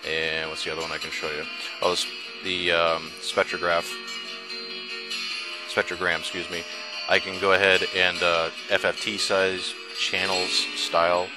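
Male screencast reviewer, bass-register voice, informal and enthusiastic demo delivery over prominent background music. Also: level tone, measured pace, poor audio. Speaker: software reviewer. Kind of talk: casual screencast demo narration. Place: indoors, voice-over on a screen recording. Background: music playing behind the voice.

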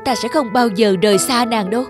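A man playing a shy young peasant in love in a cartoon fairy tale, hesitant and soft-hearted. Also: very high voice, brisk, over music. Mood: tender. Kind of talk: animated fairy tale acting.

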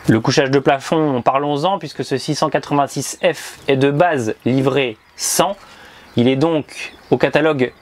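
A man's voice: medium pitch, a little slow. A man in his thirties presenting a scripted motorhome review on a lapel mic, with precise, presenter-like delivery. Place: inside a motorhome, then outdoors by the roadside.

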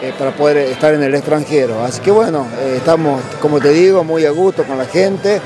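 A man's voice: a little high, fairly quick, music in the background. A man in his fifties with an Argentine accent, a coach giving casual interview answers. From La Rioja, northern Argentina. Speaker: padel coach. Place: padel club by the courts. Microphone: handheld interviewer microphone. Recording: noisy crowd around the club.

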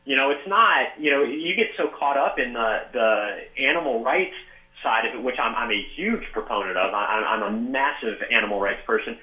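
A man talking as a guest in a radio interview, speaking in a quick, halting way with many restarts. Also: mid-range voice. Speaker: fitness author and guest. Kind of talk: casual interview chat. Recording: tinny roomy phone line.

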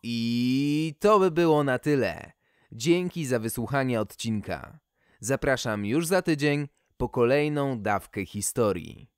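Male storyteller for a wry fairy tale, very varied intonation, measured pace. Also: medium pitch, expressive, smooth, no room sound, studio quality.

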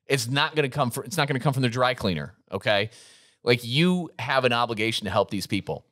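A man's voice: medium pitch, animated, fairly quick, a bit bright, no room sound. A man in his forties co-hosting a business podcast, earnest and motivational, repeating his points, on a studio mic in a gym. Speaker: podcast co-host. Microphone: studio mic on boom arm, headphones.

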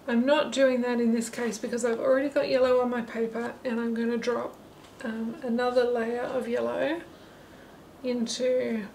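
A woman with a slightly high, gravelly voice explains her watercolour steps as she paints, relaxed and unhurried. Her delivery stays quite even in pitch. A faint hum of noise sits under the camera-mic recording. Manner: relaxed, thinking aloud while painting. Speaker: watercolour teacher. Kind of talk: painting tutorial narration. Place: holiday apartment, at a table indoors. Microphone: camera mic above the table.

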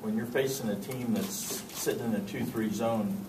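A male reporter asks players leading questions in a low, easygoing voice. He is heard from a distance in an echoey room with steady background noise. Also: normal speed. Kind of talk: press conference questions.

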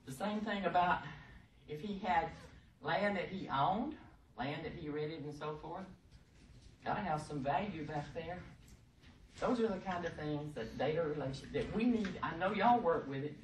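A middle-aged woman, giving a loud, emphatic, folksy training talk from a podium in a conference room. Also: deep voice, normal speed. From Texas. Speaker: presenter at a workshop. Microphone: podium microphone, heard from across the room. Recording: echoey room, distant mic, faint hiss.

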